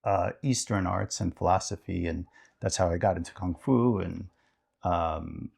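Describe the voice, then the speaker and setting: A man with a low, gravelly voice talks on a podcast as co-host and guest author. His delivery is casual and reflective, halting as he works out his thoughts aloud. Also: darkish tone.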